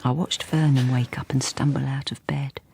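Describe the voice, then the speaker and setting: An actress playing a troubled middle-aged British wife who speaks hesitantly and confidingly in a radio drama. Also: female voice, a bass voice, fairly even intonation, normal speed, vocal fry, a little mellow, husky, no echo, heavily acted. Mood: wry, confiding. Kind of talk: intimate first-person radio drama narration and dialogue.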